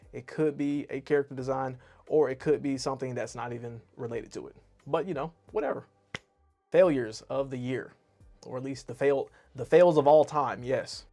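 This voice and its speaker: Male vlogger, mid-pitch, casual rambling delivery, pokes fun at himself. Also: darkish tone. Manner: rambling, self-deprecating, chatty. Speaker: YouTube artist and animator. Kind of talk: casual year-review monologue to camera.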